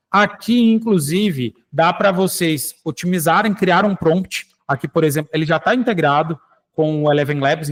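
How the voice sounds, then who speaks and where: A man hosting a show, demonstrating software live and explaining it to listeners, often checking in with "tá?" and "né?". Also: a little high. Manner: explanatory, addresses listeners directly with frequent "tá?" and "né?". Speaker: radio show host.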